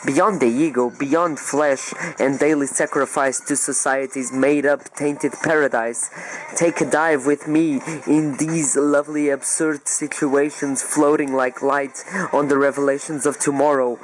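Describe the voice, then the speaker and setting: A man's voice: medium pitch, fairly even intonation, unhurried, slight background noise, poor audio. A man reading a poetic scripted narration in a solemn, declamatory manner.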